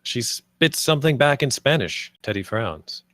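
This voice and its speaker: A man's voice: medium pitch, expressive, a little slow, fairly bright tone, no room sound, clean studio sound. A man narrating the stage directions and scene headings of a screenplay at a table read.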